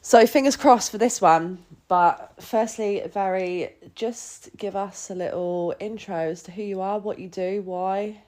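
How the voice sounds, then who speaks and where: A woman's voice: mid-range voice, a little slow, few pauses, darkish tone. A woman hosting a podcast, chatting enthusiastically and casually with plenty of filler words. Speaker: podcast host.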